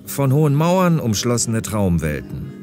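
A man's voice: medium pitch, sing-song, unhurried, a little mellow, soft background music. A man playing an easygoing, dry-humoured police pilot in a German audio drama. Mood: casual, dry.

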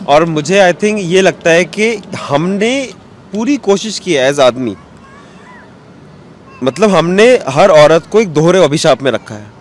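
A man's voice: fairly high pitch, expressive, slow. A man in his thirties giving reflective interview answers outdoors, dropping English words into his speech. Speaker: cartoonist, interview guest. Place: outdoors on a bench in a courtyard. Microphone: interviewer's handheld microphone. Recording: outdoor street noise, traffic behind.

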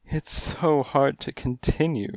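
A man doing a coy, breathy lady cat. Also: mid-range voice, big pitch swings, slow pace, dry recording, pitched above the performer's own voice. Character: flirtatious dainty female cat client, dramatic.

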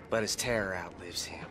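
An actor playing a wry, wary, erratic stronghold boss in a video game, with a taunting drawl. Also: male voice, sits low, background noise. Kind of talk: video game character acting.

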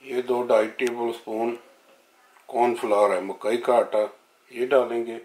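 A man's voice: mid-range voice, flat delivery, measured pace, darkish tone. A man hosting a home cooking video, narrating a recipe step by step in a plain way. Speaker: home cooking channel host. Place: home kitchen, indoors. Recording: roomy kitchen echo, faint noise, sizzling.

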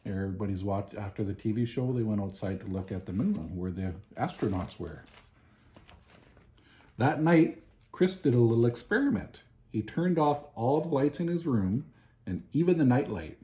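Man reading a storybook, mellow voice. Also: lowish voice. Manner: expressive, deliberate with emphatic pauses. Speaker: storybook reader. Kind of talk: children's picture book read-aloud. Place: home living room, indoors.

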